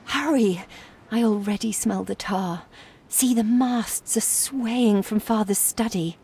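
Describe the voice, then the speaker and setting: An actress playing an earnest, devoted young sister and adviser in a video game. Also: female voice, medium pitch, takes it slowly, mellow, slightly rough. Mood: fervent.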